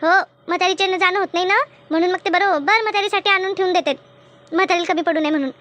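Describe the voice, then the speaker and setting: A woman voicing a bossy, brisk village woman who leads her friends in a comedy cartoon, emphatic and scolding. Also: extremely high pitch, sharp tone. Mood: explaining. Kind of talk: colloquial comedy cartoon dialogue.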